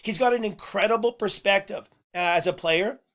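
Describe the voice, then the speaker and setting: A man hosting a sports podcast in a casual monologue. His voice is a little hoarse and he talks earnestly, with "uh"s and repeated words. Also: high voice, normal speed, fairly bright tone. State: slightly hoarse voice. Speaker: podcast host.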